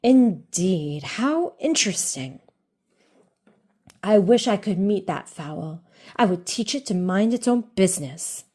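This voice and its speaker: A woman reads the part of a suave gentleman fox from a children's storybook. He is genteel and formal, obliging to the last word, with a sly streak under his polite hospitality. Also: mid-range voice, lively intonation, slow, husky. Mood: smooth, obliging.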